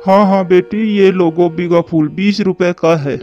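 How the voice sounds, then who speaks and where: A man's voice: high voice, fairly even intonation, unhurried, warm tone, faint music behind. Man as a poor old pigeon hawker, muffled. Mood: grateful. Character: old, poor, pleading pigeon vegetable seller.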